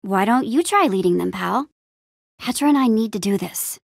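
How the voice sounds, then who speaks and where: A woman playing an upbeat young adventurer and town hero in a video game, friendly, casual, expressive and quippy. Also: mid-range voice, moderate pace, vocal fry, no room sound, clean studio sound. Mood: reassuring. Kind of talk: video game character acting.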